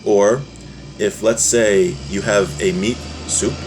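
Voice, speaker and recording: A man's voice: fairly low pitch, steady tone, slow pace, noisy background. A man giving an informal religious text lesson as a teacher, reading and explaining in a conversational way. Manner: conversational, explanatory, acts out short examples. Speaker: Torah teacher.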